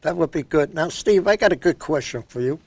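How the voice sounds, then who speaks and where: An older man from Brooklyn with a New York accent and a fairly high voice hosts a local cable talk show. He speaks bluntly and with strong opinions. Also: lively intonation, a little fast. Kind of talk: opinionated interview chat.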